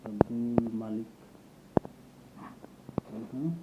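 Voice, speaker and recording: A man's voice: medium pitch, drawn-out words. Man, mid voice, supportive co-host echoing steps, dull noisy recording. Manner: echoes and confirms each step, joking asides. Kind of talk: casual tutorial walkthrough. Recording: dull lo-fi sound with steady noise.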